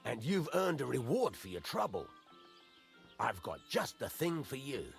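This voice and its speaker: Gruff middle-aged blacksmith, gravelly, lively pitch swings, blunt, folksy, fatherly, medieval game dialogue. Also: measured pace, soft background music. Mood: gruff, relieved. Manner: blunt, folksy, swears mildly, teasing. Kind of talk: video game dialogue acting.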